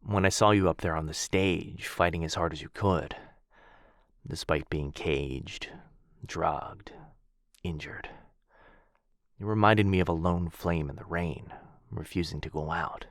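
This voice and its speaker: Casting: male actor as noble, melancholy vampire lord for ASMR roleplay; low register, soft formal delivery, protective, close and dry sound. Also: measured pace, no echo. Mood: tender, regretful. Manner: soft-spoken, formal, tender, with hushed breathy dips. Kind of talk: intimate boyfriend ASMR roleplay.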